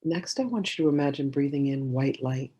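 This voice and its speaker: A woman leading a guided meditation in a gentle, soothing way, giving slow instructions with many pauses. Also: very deep voice, animated, breathy edge, lo-fi sound.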